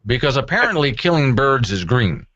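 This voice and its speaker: A man hosts talk radio with a very animated, varied pitch. He chats conversationally and drops wry, joking asides. The sound is dry and slightly dull. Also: normal speed, dry recording. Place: radio studio.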